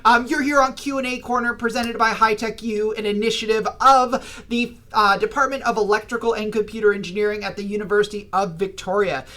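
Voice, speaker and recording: A man hosting a livestreamed interview, energetic and enthusiastic. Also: sits high. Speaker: host.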